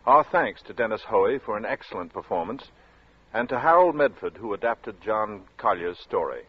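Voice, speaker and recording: A man's voice: lowish voice, big pitch swings, normal speed, breathy edge. A man announcing in a dramatic, scripted 1940s radio narration style. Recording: muffled 1940s radio recording.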